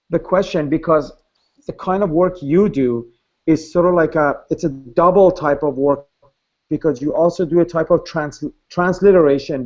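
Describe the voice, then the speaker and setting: A man in an online seminar talks casually, padding his talk with fillers and tag questions. His voice runs a bit higher than usual. The sound is dull and muffled. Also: a little mellow. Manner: casual, filler-heavy, many rhetorical "right?". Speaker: seminar participant.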